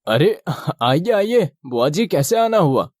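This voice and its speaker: A man voicing the men of a cartoon family tale, in an expressive, exclamatory way. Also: on the high side, slow pace, no room sound, studio quality. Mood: welcoming. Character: men of a family tale: father, groom, priest. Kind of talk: animated moral-story dialogue.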